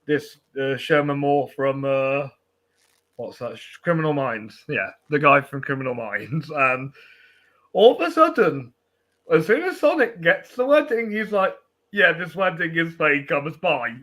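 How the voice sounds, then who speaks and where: A man hosting a film podcast, chatting casually and haltingly, with false starts along the way. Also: on the high side, very animated, fairly bright tone.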